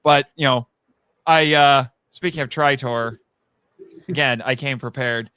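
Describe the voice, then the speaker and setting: Bright-voiced man chatting as podcast guest. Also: medium pitch, a little slow, clear, resonant tone, no echo. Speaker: podcast guest, audio drama writer. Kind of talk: animated casual fan discussion.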